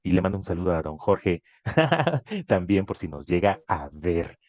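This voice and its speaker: A man's voice: low-pitched, big pitch swings, measured pace, darkish tone, dry recording. A man with a Mexican accent hosting an interview in a chatty style, leading the questions. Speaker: host. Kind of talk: casual interview chat. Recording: dull dry lo-fi sound.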